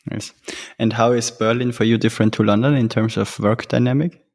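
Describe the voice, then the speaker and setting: A man with an average-pitched voice hosts an interview podcast. He puts his questions casually, in halting phrases, often searching for the wording as he goes. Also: steady tone, darkish tone.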